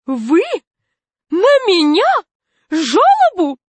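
An indignant woman hospital worker from a storybook as a female reader plays her. She sounds flustered and scandalised, high-pitched, with lively swings as she exclaims. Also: extremely slow, no echo, heavily acted. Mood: shocked indignation.

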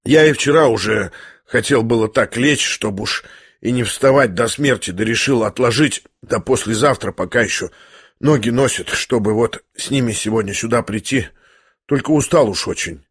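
A man voicing a hoarse, dying young man. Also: medium pitch, no echo, clean studio sound, pitched below the performer's own voice, close to an everyday voice. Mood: weary calm. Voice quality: hoarse, near whisper. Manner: slow, weary, faintly mocking calm. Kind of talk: audiobook character reading.

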